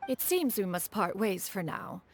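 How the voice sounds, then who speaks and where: A stately immortal woman in a video game. She is formal and self-assured, with a mid-pitched, expressive voice over faint music. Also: a little slow. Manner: formal, lofty, precise. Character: dignified, self-assured, archaic-spoken adeptus woman.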